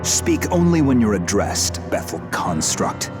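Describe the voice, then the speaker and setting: A man's voice: lowish voice, sing-song, unhurried. A man playing a ruthless, zealous human warrior in a video game, contemptuous and commanding, with music behind him. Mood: cold contempt. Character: ruthless, zealous human man who despises demons and weakness. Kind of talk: dramatic video game voice acting. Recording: loud game music underneath.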